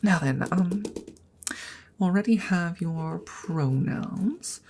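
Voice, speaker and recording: A woman voicing a polite, earnest, slightly awkward female dragon in an intimate ASMR roleplay, speaking softly and formally with hesitant stammers and frequent whispering. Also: on the low side, extremely slow, a little hiss or hum. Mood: businesslike, flustered. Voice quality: breathy, soft, often slipping into whisper.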